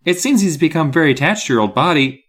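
A man's voice: a little high, animated, fast, a bit bright, pitched above the performer's own voice. A man voicing a flustered, apologetic woman who runs an odd business in a storybook. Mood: hesitant explaining.

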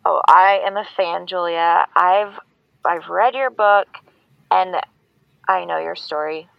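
Nervous, creaky-voiced caller. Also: female voice, lowish voice, takes it slowly, no echo. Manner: hesitant, earnest. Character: nervous, sincere female caller and fan. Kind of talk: scripted fiction podcast acting. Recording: dry, dull call-in line.

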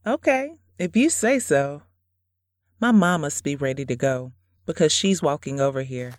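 A woman playing a quiet, laid-back young daughter in an audio drama. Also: low voice, expressive, normal speed, no room sound, clean studio sound. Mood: agreeable.

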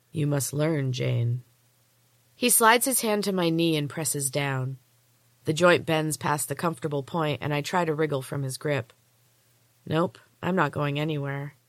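A woman reading a first-person story aloud as author and host, in a measured, hushed, dramatic narrating style. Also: low voice, dry recording. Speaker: author and podcast host reading own book.

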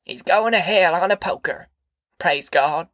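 A woman voicing a shrill, pious and spiteful old grandmother. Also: unhurried, a bit bright, dry recording.

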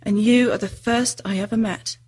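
A woman's voice: medium pitch, fairly even intonation, moderate pace, darkish tone, slightly rough, natural voice. A woman voicing a sorrowful, guilt-ridden male fawn in a storybook, mournful and self-reproaching. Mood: confessing guilt. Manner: sobbing, groaning, mournful. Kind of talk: storybook character reading.

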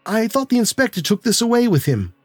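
A man voicing a polite, earnest gentleman who speaks courteously and eagerly. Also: high-pitched, very animated, fairly quick, no echo, faint music behind, pitched above the performer's own voice.

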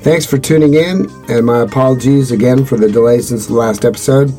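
A man hosting a podcast, reading a scripted explanation in an earnest, measured way. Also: medium pitch, mellow, soft background music. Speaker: podcast host.

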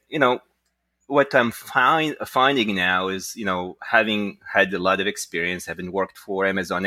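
A man with a low-pitched, bright voice talks as an interview guest. He explains his work conversationally, circling through halting asides. The sound is very dry. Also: dry recording. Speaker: guest, tech entrepreneur and inventor.

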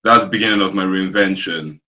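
A man from Nigeria chatting casually as an interview guest, often halting and rephrasing as he goes. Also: deep voice, bright, forceful tone. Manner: halting, circling back to rephrase. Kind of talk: casual chat. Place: remote video call.

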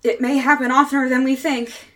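A woman's voice: high voice, flat delivery, a little fast, fairly bright tone. An actress playing a frightened, resigned woman lost in time, in the dramatic style of old-time radio horror. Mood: grave, resigned.

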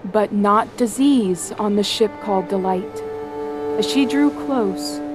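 A woman's voice: mid-range voice, slow, over music, lightly acted. A woman playing the grave, wry seafaring narrator of a whaling tale, in dramatic scripted narration. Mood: storytelling.

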